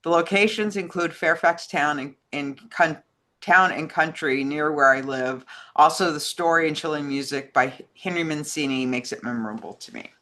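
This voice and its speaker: Casting: female co-host, bright crisp-edged tone, relaxed conversational delivery, reads out fan mail. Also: low-pitched, normal speed. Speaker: co-host reading listener questions. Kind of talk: casual chat and reading questions aloud.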